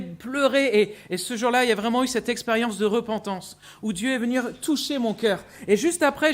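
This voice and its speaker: Earnest French pastor, halting delivery. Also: male voice, very high-pitched, a bit bright. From Brittany, France. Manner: halting, repeats words, earnest. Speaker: guest pastor. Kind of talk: sermon with personal testimony. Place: church sanctuary with mezzanine.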